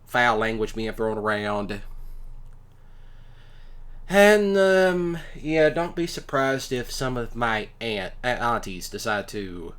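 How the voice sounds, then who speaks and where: A man improvising a casual boyfriend roleplay, talking in a folksy, halting way with drawn-out pauses. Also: mid-range voice, lively intonation, fairly bright tone, clean tone. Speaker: ASMR roleplay performer.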